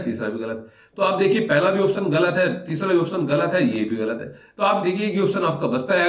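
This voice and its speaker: A man teaching history in an informal exam-review lecture, repeating phrases for emphasis and answering his own questions. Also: on the high side. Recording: roomy echo, distant mic, dull sound.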